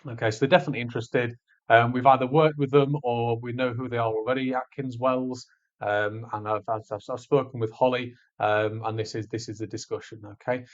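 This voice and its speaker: A man's voice: medium pitch, fairly even intonation, fairly quick. Man presenting a tutorial, slightly dull recording. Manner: informal, explains step by step. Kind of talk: screencast walkthrough, conversational instruction. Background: voice-over on a screen recording.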